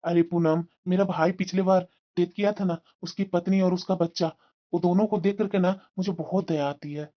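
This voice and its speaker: Muffled lo-fi audio, high-pitched man acting as cartoon husband, evasive, irritable, doting uncle. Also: steady tone, normal speed, close to an everyday voice. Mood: weary. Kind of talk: Hindi moral-story cartoon dialogue.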